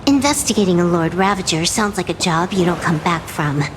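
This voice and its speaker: A woman acting a cool-headed, teasing adventurer in a video game, with a wry, unhurried delivery. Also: fairly low pitch, animated, background noise, close to an everyday voice.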